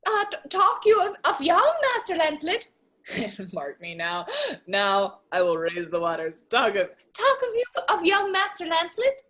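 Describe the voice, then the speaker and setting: Young clown servant, female performer: high, very animated, dithering, playful mischief for stage comedy. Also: moderate pace, heavily acted. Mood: mischievous. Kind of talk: theatrical Shakespeare reading.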